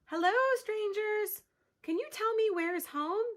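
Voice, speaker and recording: A woman's voice: sits high, big pitch swings, takes it slowly, pushed and bright, pitched above the performer's own voice, exaggerated voice. A woman reading aloud, voicing a lost little girl penguin chick who is earnest and plaintive. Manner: plaintive, wide-eyed.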